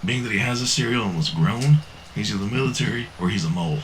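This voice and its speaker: A man's voice: lowish voice, fast talker, a little hiss or hum, natural voice, poor audio. A man playing a wary, street-smart cyberpunk drifter in an audio drama, terse and cautionary. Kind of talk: science fiction audio drama acting.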